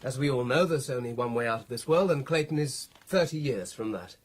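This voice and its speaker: An actor playing a sceptical, self-assured British gentleman Freemason in a radio drama, precise and pompous. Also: medium pitch, lively intonation, fairly quick, natural voice. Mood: dismissive.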